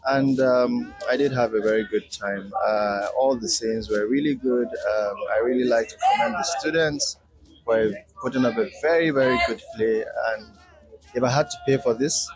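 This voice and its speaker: A man giving an impromptu testimonial, hesitant and halting, often repeating words. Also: medium pitch, low-quality recording. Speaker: audience member giving feedback. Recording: music behind the voice. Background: music.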